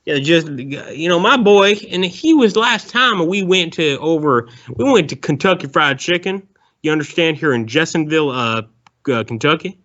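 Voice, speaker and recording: A man's voice: on the high side, lively intonation, normal speed, fairly bright tone. A man voicing a rambling, bigoted Southern ex-convict on a prank call, with a folksy drawl and calling everyone sir. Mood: indignant. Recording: dull lo-fi phone call.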